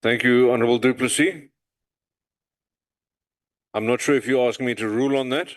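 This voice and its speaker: A man presides over a parliamentary sitting. He speaks in a measured, procedural way at a mid-range pitch. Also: fairly even intonation. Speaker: house chairperson. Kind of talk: chairing a parliamentary session.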